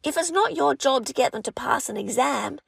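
A woman's voice: high-pitched, lively intonation, fairly quick, creaky, breathy edge, no room sound. Upbeat Australian woman coaching tutors. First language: English. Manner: upbeat, reassuring, emphatic. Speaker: language session coach. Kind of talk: encouraging talk to aspiring tutors.

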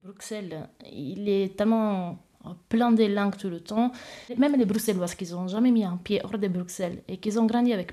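A woman's voice: medium pitch, warm tone. A woman speaking casually and eagerly in an interview, often restarting her phrases. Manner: enthusiastic, halting, restarts phrases.

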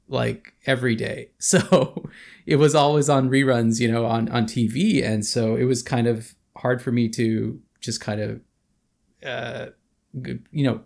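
A man's voice: medium pitch, gravelly. A man in his thirties co-hosting a podcast, talking in a thoughtful, hesitant way with many fillers. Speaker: podcast co-host. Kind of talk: casual chat.

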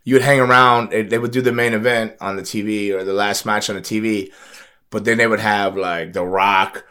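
A middle-aged man with a lowish voice hosts a relaxed sit-down chat. He rambles easily and circles back over his own words with fillers and restarts. He talks like a former athlete telling stories from the road. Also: brisk, clear, resonant tone. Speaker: podcast host and former wrestler. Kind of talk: casual interview chat.

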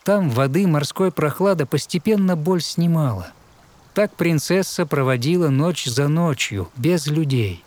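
A man narrating a fairy tale in verse, with a lyrical, rhythmic and expressive scripted delivery. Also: on the high side, unhurried, no echo.

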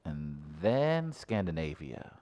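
A man with a low, deep voice trades jokes on a casual podcast. His pitch swings up and down as he talks. He is quick to laugh. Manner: animated, breaks into loud laughter. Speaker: podcast co-host. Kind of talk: casual banter.

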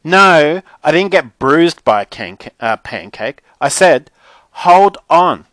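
A man's voice: a little high, very animated, takes it slowly, a bit bright, no echo, voice pushed up, heavily acted. A man voicing a spoiled, bossy teenage girl for a children's audiobook, whiny and melodramatic. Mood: whining outrage. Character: spoiled, dramatic, indignant teenage girl on the phone.

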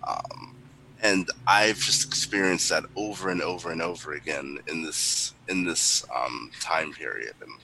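A man giving a halting church testimony, pausing often with fillers. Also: a bass voice, gravelly, pushed and bright, slight background noise, low-quality recording. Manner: hesitant, many pauses and fillers.

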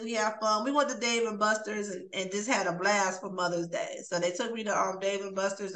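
Bright-voiced woman in her forties, echoey. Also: mid-range voice. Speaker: podcast co-host. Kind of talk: casual chat.

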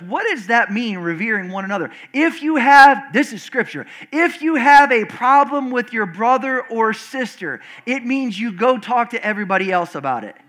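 Screechy, loud preaching man. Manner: animated, swinging from loud and high to low and quiet, many restarts. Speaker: pastor. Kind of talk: sermon with call and response. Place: church service before a congregation.

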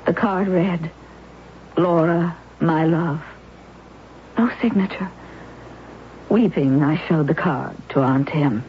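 A woman's voice: deep voice, takes it slowly, long pauses, a little hiss or hum. An actress playing an anguished young wife in a wheelchair who narrates her own story, in a 1970s radio drama. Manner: intense, pleading, breaking into despair. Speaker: narrator and lead character. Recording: muffled old radio broadcast with music.

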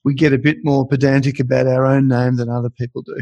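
A man's voice: mid-range voice, level tone, fast talker, vocal fry, mellow, no echo, highs cut off. A man co-hosting a podcast, chatting lightly and a little wryly. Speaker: podcast co-host. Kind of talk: casual podcast chat.

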